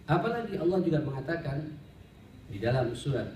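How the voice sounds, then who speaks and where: A male Islamic preacher, heard in an echoey room at a distance from the mic. His voice is on the mellow side, and he teaches in a conversational sermon style, addressing listeners directly. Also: a little high, expressive, brisk. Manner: conversational and didactic, often addresses listeners directly, rhetorical questions. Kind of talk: sermon, study-circle lecture with Arabic recitation. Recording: roomy, distant mic, faint background noise.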